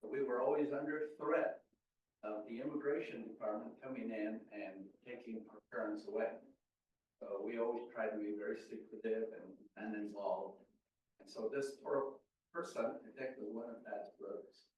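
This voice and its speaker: A man's voice: on the low side, fairly even intonation, moderate pace, a little hiss or hum. An older Mexican-American man with a Mexican Spanish accent, telling family stories at a library talk with many hesitant fillers. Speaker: guest speaker. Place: large room at a public library. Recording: echoey room, far from mic, dull.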